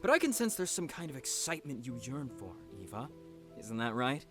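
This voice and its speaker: A woman's voice: rumbling low, big pitch swings, fairly quick, faint music behind. A woman playing a cheeky, free-spirited young male student in an audio drama, teasing and flamboyant. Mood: cocky, teasing.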